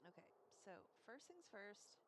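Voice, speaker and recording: A woman's voice: medium pitch, very animated, measured pace, background noise. A woman giving casual, offhand gameplay commentary as a game streamer.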